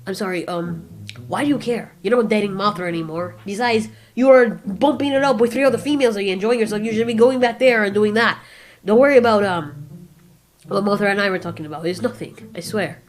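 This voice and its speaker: A woman's voice: mid-range voice, fairly quick, natural voice. Woman voicing a weary, curt moth kaiju. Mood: defensive. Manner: curt, evasive. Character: tired, guarded female moth kaiju.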